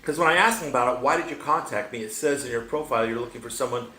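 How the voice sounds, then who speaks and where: A man's voice: mid-range voice, very fast, sharp tone, reverberant, slight background noise, low-quality recording. A man in his sixties chatting as a guest on a podcast, talking freely and cutting in to make his point. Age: 60s. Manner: chatty, interrupts, laughs a lot. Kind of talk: casual chat.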